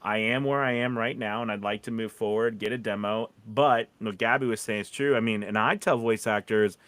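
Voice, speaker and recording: A man's voice: fairly low pitch, fairly even intonation, a little fast, a bit bright, no room sound. A man hosting a casual discussion, giving informal advice and often rephrasing as he goes. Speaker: podcast host.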